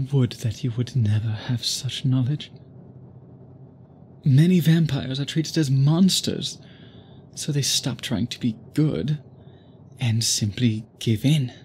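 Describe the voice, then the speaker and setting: A man playing an obsessed, guilt-ridden immortal vampire suitor in an intimate audio roleplay, speaking formally in halting, brooding phrases. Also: medium pitch, a little mellow, slight background noise, close to an everyday voice. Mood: earnest, guarded. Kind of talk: intimate ASMR roleplay, dramatic monologue.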